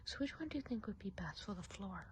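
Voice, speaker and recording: A woman playing a friendly, chatty witch of the woods in an ASMR roleplay, whispering softly and breathily in an unhurried, musing way. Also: medium pitch, lively intonation, slight background noise, natural voice. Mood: musing, gentle. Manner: unhurried, gossipy, hesitant musing.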